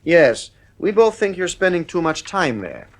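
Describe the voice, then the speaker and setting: A man's voice: high voice, sing-song, a little slow, exaggerated voice. An actor playing a stern, nervy father with a British accent and clipped, blustering speech in a 1950s radio drama. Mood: awkwardly firm.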